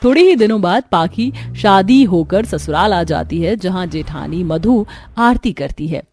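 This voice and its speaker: A woman's voice: on the low side, lively intonation, a little slow, a little mellow. A woman storyteller giving scripted narration for a cartoon story. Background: faint music.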